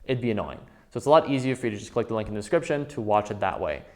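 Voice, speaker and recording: Persuasive young man pitching. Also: very animated, very fast. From Quebec, Canada. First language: French. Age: 20s. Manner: emphatic, persuasive, direct address to viewer. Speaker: trading educator. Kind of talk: promotional pitch to camera.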